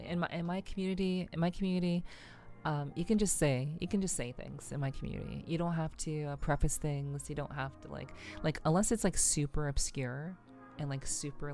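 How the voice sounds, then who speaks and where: A woman livestreamer chatting casually to viewers in an ASMR style, with a soothing voice and a relaxed, halting, unhurried delivery. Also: low voice, gravelly. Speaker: VTuber livestream host.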